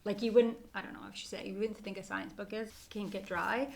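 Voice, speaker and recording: A woman on a book club podcast, hosting segments and telling a story in a lively, casual way with frequent laughs. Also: mid-range voice, brisk, creaky.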